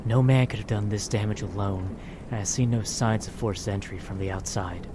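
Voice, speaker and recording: A man playing an imperious, suspicious dark sorcerer with formal, commanding speech in a gothic horror audio drama. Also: fairly low pitch, fairly even intonation, normal speed, noisy background, natural voice. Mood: grave.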